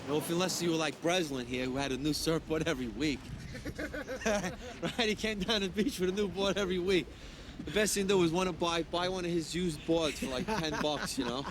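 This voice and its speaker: A man's voice: fairly high pitch, fairly quick, noisy background. An older man from New York reminiscing in a casual, teasing, colloquial way. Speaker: interviewee, surfer. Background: loud music.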